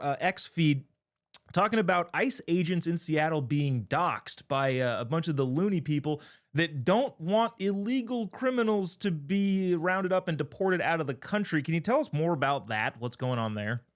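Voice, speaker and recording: An American man hosting a political talk show in a casual, joking, bantering way. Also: high voice.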